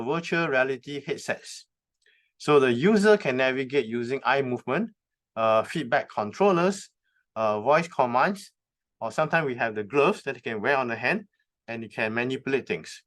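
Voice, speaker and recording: Man, conference speaker, halting delivery, frequent fillers, mid-pitched voice, lecture presentation. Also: a bit bright. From recently moved from North America. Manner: halting, many "uh" fillers, explains terms step by step. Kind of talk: presentation talk. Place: conference venue.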